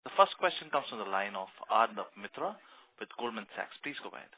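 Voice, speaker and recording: A man's voice: lowish voice, very animated, brisk. A man working as a conference call operator, giving formal scripted announcements. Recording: narrow telephone conference line.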